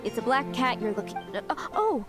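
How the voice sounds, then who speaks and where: A woman's voice: a little high, sing-song, normal speed, over music. A woman voicing a friendly, chatty young townswoman in a video game. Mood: grateful. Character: friendly, fretful young townswoman who owns a cat.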